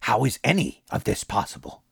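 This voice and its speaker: A man's voice: medium pitch, sing-song, brisk, vocal fry, a bit bright, slightly rough, no room sound, clean studio sound, pitched above the performer's own voice, heavily acted. A man doing a tired, subdued actor. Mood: weary. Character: weary, wary middle-aged male actor.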